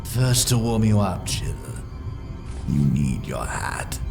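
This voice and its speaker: A man voicing a gleeful, sadistic clown villain in a comic dub, theatrical and taunting, with a gravelly voice. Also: sits low, big pitch swings, takes it slowly, heavily acted. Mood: gleeful menace. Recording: echoey, with loud music behind.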